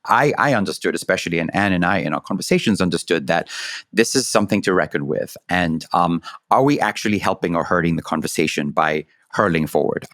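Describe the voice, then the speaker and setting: Very deep-voiced man, halting and reflective. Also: a bit bright, no echo, studio quality. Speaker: theater production team member in discussion. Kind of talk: reflective discussion.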